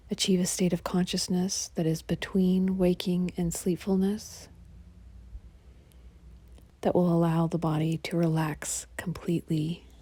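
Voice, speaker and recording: Female yoga nidra guide: soft half-whisper, mellow tone, close dry sound, calming delivery. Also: on the low side, slightly rough, no room sound. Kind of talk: guided relaxation meditation.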